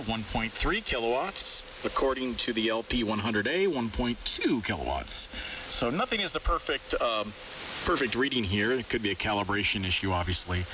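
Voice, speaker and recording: Man, informal ham radio operator, thinks aloud, muffled radio audio, clear hiss. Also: mid-range voice. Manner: informal, thinks aloud while reading out figures. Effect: two-way ham radio transmission. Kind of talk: casual radio chat, talking through equipment readings. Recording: hissy, dull, distant shortwave radio audio.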